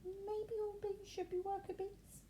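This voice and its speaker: A woman's voice: very high-pitched, level tone, unhurried, mellow, a little hiss or hum, pitched above the performer's own voice. A woman voicing a small, nervous, puzzled bee in a children's story, with a little voice.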